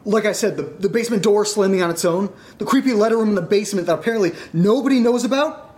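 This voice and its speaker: High-voiced, exasperated young American actor as a man. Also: quick pace, darkish tone, lightly acted. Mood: exasperated, agitated. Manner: emphatic, sweary, sarcastic. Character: rattled, exasperated young man fed up with eerie happenings. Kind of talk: naturalistic audio drama acting.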